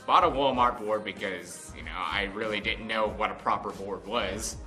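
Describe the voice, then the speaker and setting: A young American man with a fairly low but very bright, forward voice chats his way through a personal story. He rambles conversationally without a script, with music playing faintly behind him. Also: normal speed. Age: 20s. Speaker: vlog host. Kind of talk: casual unscripted storytelling.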